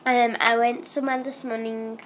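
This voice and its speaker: A woman's voice: fairly high pitch, little pitch movement, moderate pace, noisy background. A young girl vlogging at home, chatting in a rambling way with lots of ums while she has a cold. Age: child. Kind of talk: casual chatty vlog.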